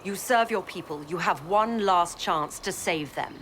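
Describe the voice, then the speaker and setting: Female zealot commander for a game: lowish voice, imperious, slow deliberate menace. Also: fairly bright tone, slight background noise. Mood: disdainful. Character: cold, proud female zealot commander, a villain. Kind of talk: dramatic video game acting.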